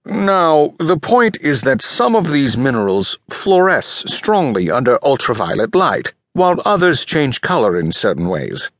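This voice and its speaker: A portly old manuscript expert for an audiobook. He is pompous and courteous, with a breathless wheeze and rolled Rs. His pitch swoops theatrically, and the recording is close and dry. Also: sing-song, a little slow, few pauses, a bit bright, dry recording. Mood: lecturing. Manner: pompous, emphatic, rolls his R. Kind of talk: audiobook character voice.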